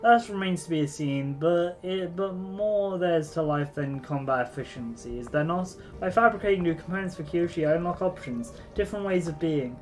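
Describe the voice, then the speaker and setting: Guy reading lines as a tired proud old-school drone builder, kinda high voice, formal and a bit halting, faint game music under it. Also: close to an everyday voice. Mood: reflective. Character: weary middle-aged male drone engineer, proud. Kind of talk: reading game dialogue aloud.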